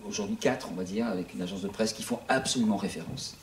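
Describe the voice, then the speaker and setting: A man in his sixties talks loosely to a small audience, circling back and restarting his sentences. His voice sits on the low side. It comes from some distance off in an echoey room, with faint ambient noise behind it. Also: fast talker. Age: 60s. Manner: rambling, with many restarts and fillers. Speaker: founder of an online news outlet. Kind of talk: casual talk to an audience.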